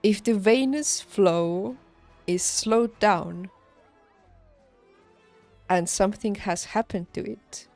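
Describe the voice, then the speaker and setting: Slow-paced woman chatting on stream. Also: mid-range voice, a little mellow. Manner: halting, thinking aloud, laughs easily. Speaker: game streamer. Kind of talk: casual livestream chat over gameplay. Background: game music.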